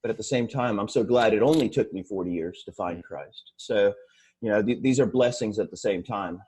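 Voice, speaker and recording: Husky, halting Swedish-born man in his forties. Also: fairly low pitch, dark tone. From Sweden. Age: 40s. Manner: halting, many fillers and restarts. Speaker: interview guest. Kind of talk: casual interview.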